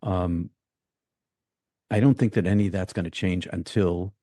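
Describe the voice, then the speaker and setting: A man from the United States, a guest in an interview, speaking reflectively and thinking aloud with many pauses and restarts. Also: sits low, steady tone, warm tone, dry recording, studio quality.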